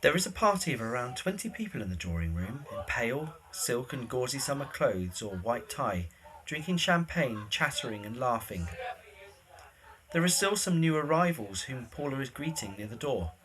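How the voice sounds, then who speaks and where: A man's voice: mid-range voice, big pitch swings, normal speed, fairly bright tone, low-quality recording. A man reading scripted, scene-setting narration for a period drama.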